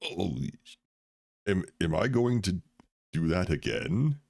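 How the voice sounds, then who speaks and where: A man's voice: sits low, sing-song, measured pace, creaky, darkish tone, breathy edge, no room sound, clean studio sound, heavily acted. A man voicing a startled, ancient talking tree.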